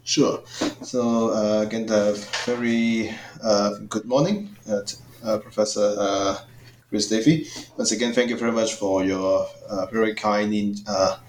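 A man's voice: on the low side, fairly even intonation, a little slow, a little mellow, slight background noise. Hesitant male academic. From Hong Kong. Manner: hesitant, frequent fillers, formal phrasing. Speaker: guest author, political science professor. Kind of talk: academic podcast interview. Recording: roomy echoey sound.

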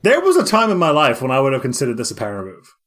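A man's voice: medium pitch, wildly swooping pitch, rapid-fire, fairly bright tone. A man co-hosting a podcast in a casual, eager way, often stumbling and repeating words. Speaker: podcast co-host. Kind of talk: casual chat.

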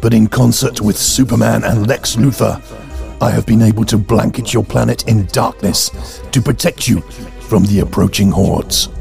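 An actor playing a pompous alien android who poses as a benevolent deity, speaking formally and grandly in an audio drama. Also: male voice, on the low side, fairly even intonation, moderate pace, gravelly, darkish tone, soft background music. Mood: solemn, grandiose.

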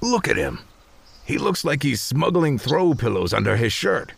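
Gruff skeptical adventurer, creaky mid-pitched voice, very animated, wry, fantasy audio drama. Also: male voice, normal speed, no room sound, exaggerated voice. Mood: scornful. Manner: dismissive, wry. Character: gruff, skeptical male adventurer in a magical land.

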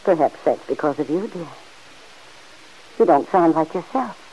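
An actress playing a gentle, worried older aunt in a 1940s radio drama, speaking softly and hesitantly. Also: female voice, low-pitched, expressive, moderate pace, breathy edge, exaggerated voice, rough recording.

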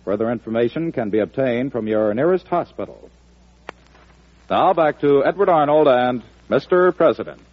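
A man's voice: mid-range voice, lively intonation, normal speed. A man announcing in the formal style of 1940s radio. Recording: thin, narrow-band old radio broadcast.

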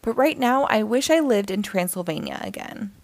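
A woman's voice: medium pitch, big pitch swings, moderate pace, vocal fry, dry recording. A woman voicing an eager, earnest, homesick young vampire girl from a children's storybook. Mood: wistful, sad. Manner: exclaiming, earnest.

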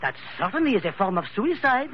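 An actor playing a jaunty, coolly ruthless French investigator with a French accent and blithe, formal phrasing in a 1940s radio drama. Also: male voice, sits high, sing-song, fast talker. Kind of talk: 1940s radio adventure acting. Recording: muffled, hissy vintage radio.

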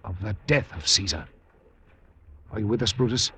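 An actor in a classic radio drama playing a scheming, persuasive Roman noble, insinuating and deliberate in his delivery. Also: on the low side, animated, bright, forceful tone, slight background noise. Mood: conspiratorial.

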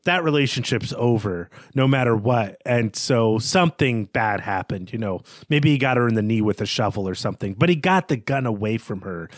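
A man's voice: mid-range voice, expressive, moderate pace, few pauses, no room sound, clean studio sound. A man co-hosting a book podcast, talking through his reading in a conversational way with repeated words and asides. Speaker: co-host of a book discussion podcast. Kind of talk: conversational literary discussion.

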